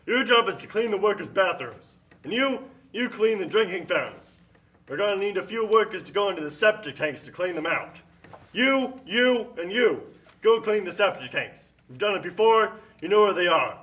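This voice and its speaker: Casting: a man as a bullying puppet factory boss, high male voice, curt threats and barked orders. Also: expressive, normal speed, a bit bright. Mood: bossy. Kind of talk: amateur puppet show acting.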